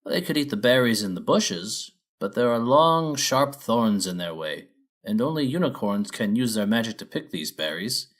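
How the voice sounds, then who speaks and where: A man's voice: mid-range voice, lively intonation, normal speed, close to an everyday voice. A man voicing a helpful old male pony trader in a storybook, explaining things patiently.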